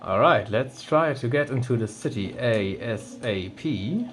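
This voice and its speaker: A man's voice: mid-range voice, unhurried. Man commentating gameplay. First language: German. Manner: relaxed, with frequent restarts. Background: game music.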